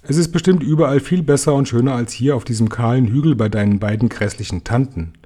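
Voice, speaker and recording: Guy doing a sweet lady ladybird, mellow, eager and reassuring, storybook style. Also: mid-range voice, fast talker, close to an everyday voice. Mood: eager, hopeful. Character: gentle, good-natured, optimistic female ladybird.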